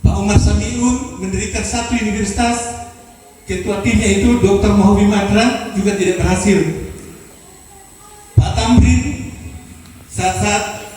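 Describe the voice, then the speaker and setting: A man's voice: high voice, steady tone, measured pace, long pauses, a little mellow, soft background music. Older man orating in an echoey hall. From Southeast Sulawesi, Indonesia. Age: 70s. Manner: rousing, repetitive oratory with rhetorical questions. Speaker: university foundation chairman. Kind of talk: ceremonial speech to new students. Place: large hall. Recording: echoey hall from far off, noisy.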